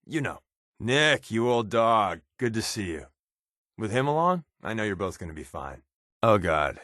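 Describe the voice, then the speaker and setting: A man voicing a wry, secretive spy companion in a video game, with casual, sardonic, deadpan quips. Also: fairly low pitch, no echo, studio quality, exaggerated voice. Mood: casual, sly. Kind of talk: game companion dialogue lines.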